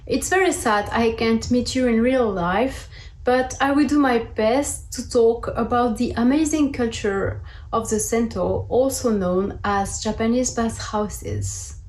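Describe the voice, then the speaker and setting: A woman's voice: a little high, a little slow, a little mellow, reverberant, rough recording. A woman in her thirties from the south of France, with a French accent, giving a prepared presentation over a video call. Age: 30s. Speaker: guest speaker. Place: indoor room at home, on a video call. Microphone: video call microphone.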